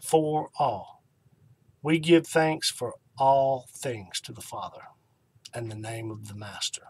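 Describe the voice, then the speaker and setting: A middle-aged man hosting an online ministry, talking informally and devotionally to camera in an unhurried way, with emphatic pauses and repeated key phrases. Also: mid-range voice, fairly even intonation, darkish tone, slightly rough.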